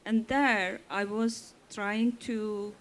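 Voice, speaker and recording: A woman from Turkey, a native Kurdish speaker, talking slowly and deliberately on a festival panel. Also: medium pitch, steady tone, a bit bright. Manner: slow, deliberate speech with frequent pauses. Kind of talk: panel talk.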